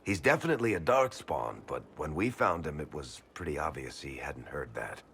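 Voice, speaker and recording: Brief: world-weary fantasy storyteller, extremely deep voice, lively swings in pitch, wry and frank. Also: male voice, normal speed, vocal fry, a little hiss or hum, heavily acted. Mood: troubled, frank. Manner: casual, wry, emphatic storytelling. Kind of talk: video game voice acting.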